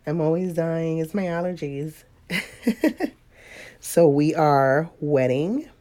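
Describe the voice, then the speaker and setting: A woman's voice: low-pitched, slow, dark tone. A woman with a stuffy, sniffly nose giving a casual live painting tutorial, chatty and laughing often as she greets viewers. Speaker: watercolor painting instructor.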